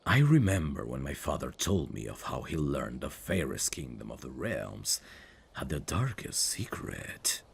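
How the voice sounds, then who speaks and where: Man acting a very deep, husky fantasy prince. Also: sing-song, exaggerated voice. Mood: sorrowful confession. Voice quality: husky, gravelly low voice. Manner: slow, hushed, formal and halting. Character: brooding evil prince, tender and lovesick toward old friend. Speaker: voice actor. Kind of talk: intimate ASMR fantasy audio roleplay.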